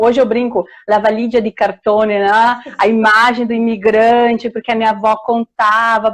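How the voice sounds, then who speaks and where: A middle-aged woman from Rio Grande do Sul with a southern Brazilian accent, telling her story in a warm, chatty way over a video call, full of restarts and tag questions. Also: on the high side. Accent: southern Brazilian Portuguese, Rio Grande do Sul. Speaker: historian and author guest. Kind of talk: casual interview storytelling.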